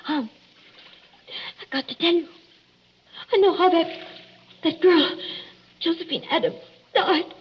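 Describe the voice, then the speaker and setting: An actress playing a frightened young wife, faint with exhaustion, in a 1940s radio drama. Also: female voice, extremely high pitch, a little slow, long pauses. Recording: muffled, hissy old radio recording.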